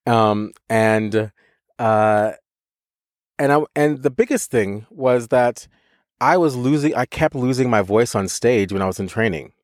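A man co-hosting a podcast, telling a story in a lively, casual way, with frequent laughs, restarts and casual swearing. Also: medium pitch, unhurried, no echo, studio quality. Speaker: podcast co-host, voice teacher.

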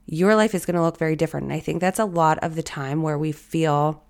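A woman in her thirties hosting a solo podcast, her voice raspy and hoarse, talking in a reflective, encouraging way straight to her listeners. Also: low voice, steady tone, fairly quick, a little mellow. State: hoarse from allergies or illness. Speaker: podcast host.

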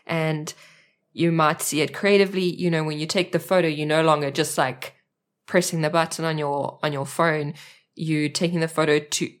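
A woman with a South African accent chatting as a podcast guest, thinking aloud as she talks. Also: deep voice. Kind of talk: casual interview chat.

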